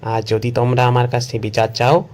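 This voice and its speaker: A man voicing a shrewd jackal judge in a children's cartoon story, speaking deliberately as if puzzled. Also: mid-range voice, flat delivery, a little mellow, lightly acted. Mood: feigned confusion. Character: shrewd, calm male jackal judge feigning confusion.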